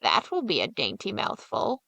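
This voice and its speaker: A woman's voice: medium pitch, huge pitch swings, normal speed, gravelly, pushed and bright, no echo, full character voice. A woman voicing a cruel, greedy old witch in a storybook reading, with a mocking, commanding manner.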